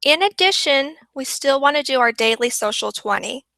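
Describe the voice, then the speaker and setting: A woman's voice: sits high, expressive, normal speed, gravelly, sharp tone, no echo. A woman presenting a marketing webinar, upbeat and repeating points for emphasis, her voice worn and going. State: losing her voice.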